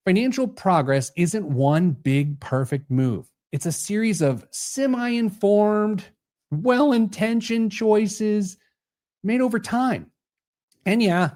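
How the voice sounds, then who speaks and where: A man presents a scripted solo podcast monologue with a highly varied, swooping intonation. His manner is conversational and wry, with a joking slant to the delivery. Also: a little mellow. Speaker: podcast host. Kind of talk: scripted personal finance monologue.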